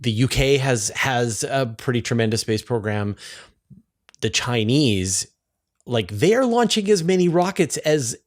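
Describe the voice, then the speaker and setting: A man's voice: medium pitch, animated, a little slow. A man hosting a conversational interview, informal and thinking aloud as he rephrases. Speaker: interview host, space journalist.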